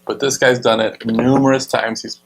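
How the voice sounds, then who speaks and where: A man's voice: medium pitch, little pitch movement, normal speed, husky. Enthusiastic male talk show host. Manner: enthusiastic, many fillers. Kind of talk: casual radio show chat.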